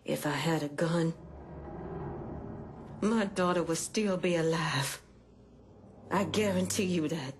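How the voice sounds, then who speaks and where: A woman's voice: low-pitched, slow, long pauses, faint music behind. An actress playing a hardened, grieving mother in a game cutscene, terse, sombre and unyielding. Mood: pained recounting. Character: hardened, grieving mother turned fierce protector. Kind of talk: dramatic game cutscene acting. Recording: faint background noise and ambience.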